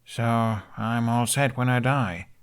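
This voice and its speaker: A man's voice: medium pitch, slow, smooth. A man voicing a skeptical teenage Viking boy in an audiobook, snarky, hesitant and self-deprecating. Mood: bitter sarcasm.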